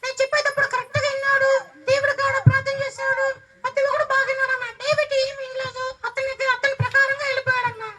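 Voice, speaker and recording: A performer voicing an earnest, scolding female puppet teacher in a children's church show, squeaky and pleading. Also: monotone, very fast, recorded from a distance, crowd noise. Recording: noisy live hall with clicks.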